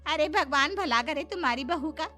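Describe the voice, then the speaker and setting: A woman's voice: sits high, animated, moderate pace, bright, forceful tone, faint music behind, pitched above the performer's own voice. A woman voicing a nosy, eager middle-aged neighbor in a cartoon story, always hungry for gossip. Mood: gossipy.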